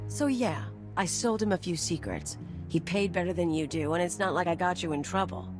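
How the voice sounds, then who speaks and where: A tough, cynical female mercenary from a video game, acted by a woman, with a slightly low voice. She talks bluntly and wryly like someone streetwise who looks out for herself, with music clearly behind her. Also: expressive, moderate pace, close to an everyday voice. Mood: defiant.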